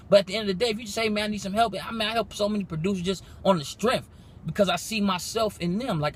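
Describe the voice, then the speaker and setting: A man in his twenties, a music producer giving a casual, profane motivational pitch, possibly recorded in a car. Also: slight background noise. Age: 20s. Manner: animated, profane, rapid slangy talk.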